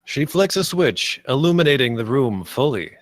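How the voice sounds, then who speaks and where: A man's voice: medium pitch, big pitch swings, moderate pace, no room sound, clean studio sound. A man narrating the stage directions and scene headings of a screenplay at a table read.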